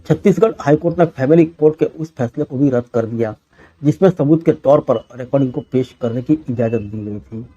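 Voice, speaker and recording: A man's voice: mid-range voice, brisk, dark tone, no room sound, lo-fi sound. A man reads a scripted news bulletin as the presenter.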